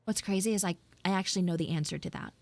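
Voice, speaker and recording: A woman's voice: on the low side, rapid-fire, a little mellow, no echo. A woman chatting in character on a livestream as a flustered tomboy tsundere schoolgirl, with dramatic, whiny exasperation. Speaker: VTuber livestreamer doing audio roleplay. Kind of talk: improvised in-character livestream chat.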